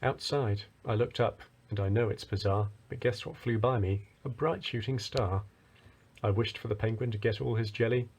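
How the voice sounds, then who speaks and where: A man narrating a rhyming children's story as a storyteller, with a husky voice. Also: on the low side, steady tone, moderate pace, a little mellow.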